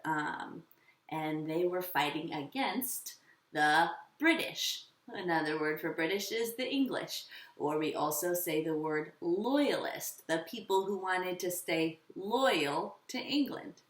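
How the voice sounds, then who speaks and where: A woman teaching children, instructive and encouraging, posing questions and pausing for answers. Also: on the low side, lively intonation. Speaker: elementary school teacher. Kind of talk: children's lesson with questions and pauses. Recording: roomy echo, slightly distant mic.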